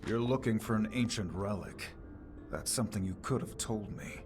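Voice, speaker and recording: A wary bodyguard swordsman acted by a man in a video game. His voice is fairly low, terse and guarded with a dry edge, over clear music. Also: normal speed, a little mellow, lightly acted. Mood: terse, impatient. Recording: music behind the voice.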